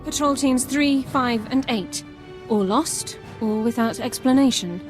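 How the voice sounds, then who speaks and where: A woman's voice: a little high, measured pace, lightly acted. Actress as a formal military sergeant woman. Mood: formal, grave. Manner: formal, regimented, clipped military phrasing. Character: crisp, by-the-book female military sergeant, formal and dutiful. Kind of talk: video game character acting. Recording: game dialogue over background music.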